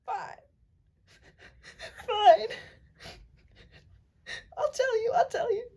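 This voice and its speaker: A woman's voice: extremely high pitch, extremely slow, gravelly, darkish tone, full character voice. A woman playing a frightened, pleading shepherd servant in an amateur puppet show, stammering and wailing. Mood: tearful, giving in. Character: frightened, sobbing old-time shepherd servant, pleading and stubborn.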